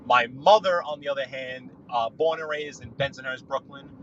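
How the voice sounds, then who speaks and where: A man tells stories from behind the wheel, circling back and restarting his sentences as he goes. His voice is bright and forceful. The audio is dull and lo-fi, like a livestream feed. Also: mid-range voice, normal speed, slight background noise. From New York. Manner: rambling, storytelling, frequent restarts. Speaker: livestream guest. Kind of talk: casual live podcast chat while driving. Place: in a car.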